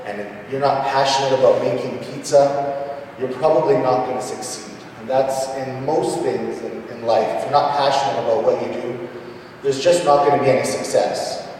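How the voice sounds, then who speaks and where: A man giving an introductory business seminar as a presenter, speaking haltingly with frequent pauses mid-sentence, in a large meeting hall. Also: mid-range voice, steady tone, darkish tone. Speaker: presenter, small business owner. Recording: echoey hall, distant mic, noisy ambience.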